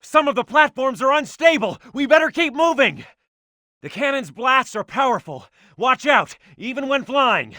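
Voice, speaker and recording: Bold high-pitched cartoon fighter. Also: male voice, animated, normal speed, pushed and bright, no room sound, clean studio sound, heavily acted. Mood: urgent. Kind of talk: scripted video game character voice acting.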